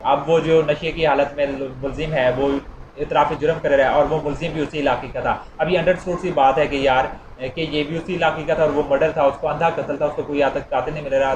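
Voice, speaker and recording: A man gives an informal explanatory lecture, repeating points conversationally and illustrating them with everyday examples. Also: mid-range voice. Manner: repetitive, conversational teaching with acted-out everyday examples. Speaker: law lecturer. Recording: echoey room with clear background noise.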